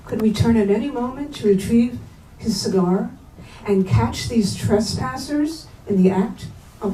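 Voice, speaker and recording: A woman reading literary prose aloud at a bookstore event, with measured, deliberate phrasing. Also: a little high, warm tone. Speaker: reader at a staged literary reading. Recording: roomy, distant mic, faint noise.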